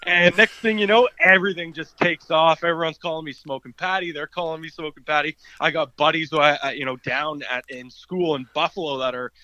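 A man with a raspy voice, answering interview questions in an easygoing way as a guest. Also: on the high side, steady tone, a little fast, hardly any pauses, sharp tone, poor audio. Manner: easygoing, laughs often. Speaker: guest columnist.

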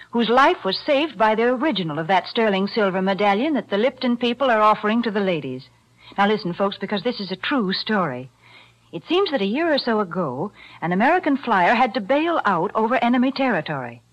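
A woman delivering a sponsor's pitch on a 1940s radio show in a brisk, storytelling manner. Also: very animated. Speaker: sponsor announcer. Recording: dull 1940s radio broadcast.

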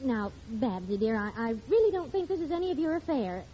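A muffled vintage radio recording carries a sensible wife and mother. Her high, lively voice is patient and gently scolding, in period family comedy style. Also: a little fast. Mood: placating. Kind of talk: 1940s radio sitcom acting. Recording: muffled, faintly noisy old radio recording.

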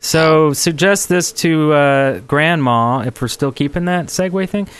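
A man's voice: a little high, measured pace, a bit bright, smooth, dry recording. A man co-hosting a casual podcast, joking playfully. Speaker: podcast co-host.

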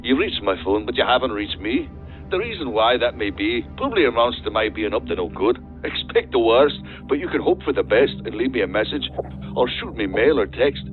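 A man's voice: medium pitch, very animated, a little fast, over music. A man playing a wry, flippant rogue recording a self-mocking voicemail greeting in an audio drama, through a telephone filter. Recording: tinny narrow voicemail phone line.

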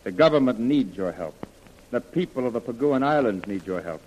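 Urbane major, acted by a man, earnest and insistent, very lively intonation, vintage 1930s radio drama. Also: medium pitch, fairly quick, slight background noise, close to an everyday voice, poor audio. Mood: earnest pleading. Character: urbane, persuasive male army major, earnest and skeptical. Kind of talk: 1930s radio serial acting.

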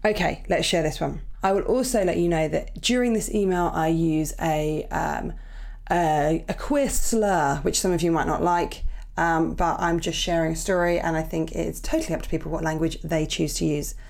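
An Irish woman hosting her podcast in a casual, chatty way, her voice croaky from early morning. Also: sits low, moderate pace, darkish tone. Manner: chatty, apologetic, upbeat. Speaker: podcast host. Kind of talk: casual chat.

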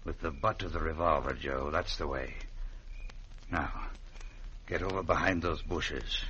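An actor playing a cold, cultured outlaw boss in an old-time radio western, pompous, precise and menacingly genteel. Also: male voice, very deep voice, measured pace, pushed and bright, dull audio, exaggerated voice. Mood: stern, plotting. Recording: faintly noisy old radio recording.

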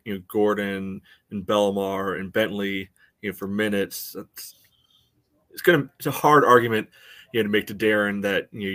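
Man, low voice, podcast co-host, casual sports talk, halting phrasing full of "you know", dry close sound. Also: a bit bright, no room sound.

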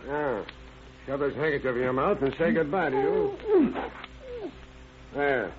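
A man playing a gruff, bullying bandit who poses as a cop in a radio drama. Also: medium pitch, animated, measured pace, lightly acted. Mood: menacing. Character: menacing male bandit posing as a cop. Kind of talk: 1940s radio acting. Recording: tinny, noisy vintage radio.

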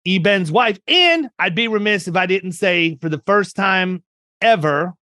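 A man's voice: high voice, lively intonation, unhurried, pushed and bright, dry recording, studio quality. A middle-aged man hosting a podcast, chatting casually in a folksy, joking, rambling way. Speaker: podcast host. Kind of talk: casual interview chat.

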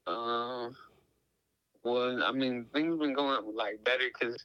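A man's voice: mid-range voice, steady tone, slow, smooth, dry recording, highs cut off. A man in his late teens, a guest speaking hesitantly with many fillers like "like" and "you know".

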